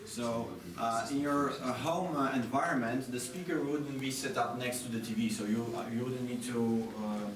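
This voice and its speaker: A man giving a live product demonstration, speaking haltingly with many filler pauses. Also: medium pitch, a crowd in the background. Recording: noisy, echoey room, distant microphone.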